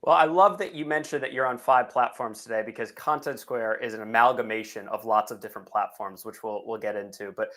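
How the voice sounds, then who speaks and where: A man's voice: mid-range voice, fairly quick, hardly any pauses, a bit bright. An American man, a guest on a webinar interview, talking in an upbeat way with frequent fillers and restarts. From United States. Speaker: guest, onboarding executive.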